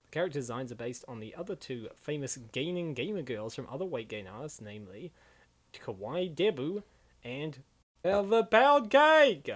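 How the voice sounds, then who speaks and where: A man's voice: a little high, sing-song, moderate pace. A man co-hosting a casual reaction chat, talking in a slangy, jokey way. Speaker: co-host and comic reader.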